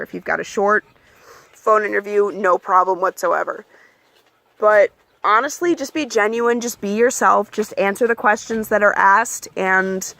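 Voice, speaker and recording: A young adult woman chatting candidly in a casual advice vlog, with plenty of ums and likes, and she calls her own voice monotone. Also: mid-range voice, vocal fry, no echo. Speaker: vlogger giving application advice.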